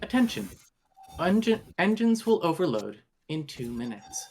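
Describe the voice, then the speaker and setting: A woman's voice: lowish voice, big pitch swings, a little slow, a little mellow. A woman playing a spaceship computer in a table read, making formal, impassive warning announcements. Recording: faint background noise, clicks.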